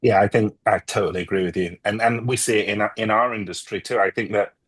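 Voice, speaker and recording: A middle-aged man from the UK leads a relaxed interview in a low, husky voice. His questions wander before they land. The sound is dry and close, with no room around it. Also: quick pace, no room sound. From United Kingdom. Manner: discursive, long winding questions. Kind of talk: casual conversational interview.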